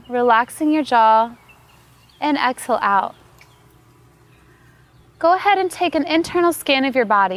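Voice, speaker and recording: A woman yoga teacher leading a guided meditation, giving calm, unhurried, soothing instructions. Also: high-pitched.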